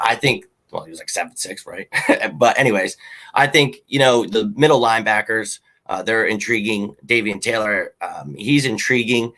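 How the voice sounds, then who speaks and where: Slightly hoarse, rambling male fan host. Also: lowish voice, a bit bright. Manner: rambling, lots of ums and uhs; opinionated, fan-like. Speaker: host of a sports fan show. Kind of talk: casual sports talk with viewer comments.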